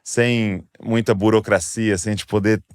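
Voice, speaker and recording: A Brazilian man hosting a faith podcast, talking in a relaxed, rambling way with lots of fillers. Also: lowish voice, unhurried, smooth, dry recording, studio quality. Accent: Brazilian Portuguese. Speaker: podcast host. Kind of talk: casual chat.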